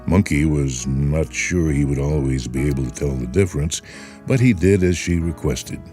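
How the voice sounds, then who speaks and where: A man reading a story aloud in a measured, expressive way. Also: rumbling low. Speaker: narrator. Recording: music bed under the voice.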